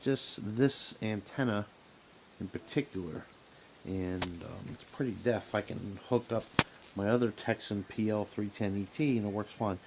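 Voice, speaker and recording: Low-voiced man with noisy background. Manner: halting, rambling. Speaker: radio hobbyist. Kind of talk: casual product troubleshooting demonstration. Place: small room at home, indoors. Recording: noisy home recording with background hiss.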